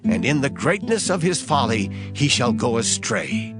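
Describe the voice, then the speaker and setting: A man's voice: mid-range voice, sing-song, moderate pace. A man giving a dramatized scripture reading as narrator, in a solemn, declamatory manner. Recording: guitar music under the voice. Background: guitar music.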